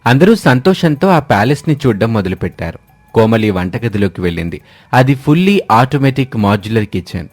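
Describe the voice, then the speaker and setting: A man's voice: mid-range voice, sing-song, brisk, dry recording, faint music behind. Male storyteller narrating a moral tale.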